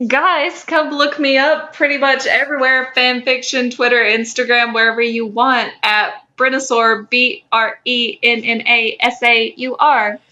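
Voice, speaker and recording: Female co-host, high-pitched and bright, casual comedy podcast chat, playful, blunt delivery. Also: level tone, a little slow, hardly any pauses, dull audio. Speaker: podcast co-host and fan fiction writer.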